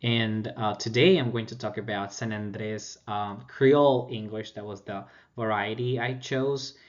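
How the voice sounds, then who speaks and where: Male student presenter, Colombian accent, Spanish native, informal academic delivery with searching hesitations, dull low-fidelity sound. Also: fairly even intonation, measured pace. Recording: dull lo-fi audio.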